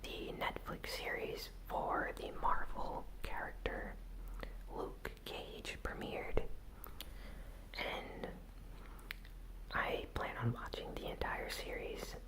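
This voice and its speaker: A host whispering a news recap in ASMR style, softly and with gentle pauses. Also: low-quality recording. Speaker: host of a gaming news channel.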